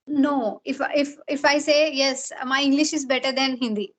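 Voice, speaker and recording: A woman from India with a Telugu accent and Telugu as her native language, chatting casually in halting English with repeated words. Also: high voice, steady tone. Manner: halting, repeats words while searching for phrasing. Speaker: talk show host in conversation. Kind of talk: casual chat. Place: video call.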